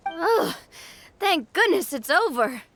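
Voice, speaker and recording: A woman's voice: very high-pitched, sing-song, slow pace, fairly bright tone, no room sound, full character voice. A woman voicing a kind, eager young woman playing a tabletop adventure in a video game. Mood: relieved. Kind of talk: video game character acting.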